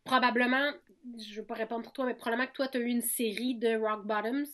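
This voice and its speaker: A woman's voice: on the high side, fairly even intonation, brisk, a bit bright. A woman in her thirties with a Québécois French accent, talking frankly as a podcast guest, often restarting and repeating words. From Quebec. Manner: frank, many restarts and repetitions. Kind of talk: candid conversational interview.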